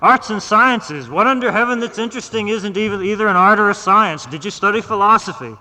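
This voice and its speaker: A man's voice: high-pitched, expressive, fairly quick, pushed and bright, big-room sound, pitched above the performer's own voice, heavily acted, poor audio. A man voicing a domineering, exasperated doctor who questions a patient imperiously in a dramatized literary reading, recorded live in the 1960s. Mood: impatient. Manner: emphatic, imperious, incredulous.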